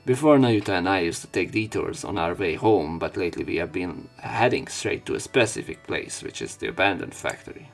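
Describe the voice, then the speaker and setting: A man's voice: sits low, expressive, fairly quick, vocal fry, hardly any pauses, faint music behind. A man doing let's play commentary, reading visual novel narration aloud with opinionated asides. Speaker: let's player.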